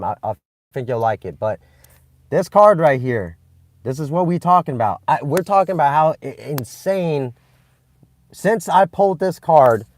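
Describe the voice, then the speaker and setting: A young man from Vietnam chatting with live-stream viewers, excitable and rambling, with many restarts and fillers. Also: fairly high pitch, dry recording. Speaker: channel host streaming live. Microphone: phone.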